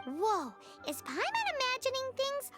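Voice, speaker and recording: Tiny cheeky fairy kid for an anime game, super squeaky high, bouncy pitch, music behind. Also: female voice, huge pitch swings, measured pace, full character voice. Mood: wary, blunt. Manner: refers to self in third person, blunt and perky. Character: small childlike fairy companion, cheeky and excitable.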